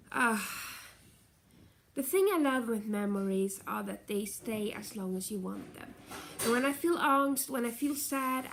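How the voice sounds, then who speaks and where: A woman's voice: on the high side, fairly bright tone. Chatty nasal woman vlogging. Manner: chatty, meandering, self-correcting. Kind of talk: casual rambling vlog chat.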